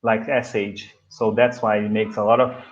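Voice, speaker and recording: A man's voice: lowish voice, level tone, normal speed, muffled recording. A Brazilian man with a Brazilian accent, a native Portuguese speaker, chatting casually as he teaches English and hosts a guest.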